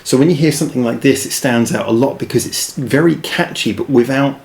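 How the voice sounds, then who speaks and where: A man's voice: mid-range voice, fairly quick, vocal fry, darkish tone. A man reviewing a song in a casual, rambling way, self-deprecating and full of restarts. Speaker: music reaction reviewer. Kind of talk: casual chat.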